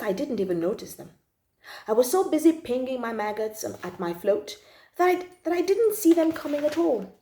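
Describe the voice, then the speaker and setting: High, very animated woman reading a storybook. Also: reverberant. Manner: animated, emphatic, draws out key words. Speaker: storytime channel host reading children's books. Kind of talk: expressive children's storybook read-aloud with asides.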